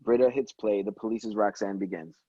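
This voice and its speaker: A man's voice: fairly low pitch, brisk, dark tone, breathy edge, no echo. A man reading screenplay stage directions aloud as scripted narration, on dull lo-fi audio.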